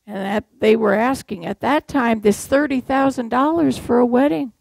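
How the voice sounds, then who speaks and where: A woman's voice: fairly high pitch, moderate pace, creaky, a little mellow, no echo, studio quality. An older woman from Massachusetts preaching a sermon from a church pulpit, telling stories and asking the congregation rhetorical questions. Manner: conversational storytelling with rhetorical questions.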